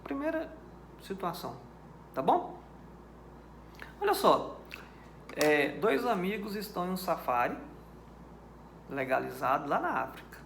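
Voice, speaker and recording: A high-pitched Brazilian man teaching a law class in a didactic way, repeating his key points and stopping often to ask whether listeners understand. His voice carries the echo of a reverberant room with faint background ambience. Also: vocal fry, fairly bright tone, slight background noise. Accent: Brazilian Portuguese. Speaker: law lecturer. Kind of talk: recorded lecture on criminal law.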